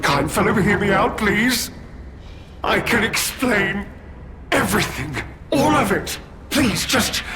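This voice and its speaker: An actor playing a theatrical, treacherous gentleman villain in a video game, with pompous, genteel, drawn-out phrasing. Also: sits high, background noise, full character voice. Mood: desperate, pleading. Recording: loud music behind the voice.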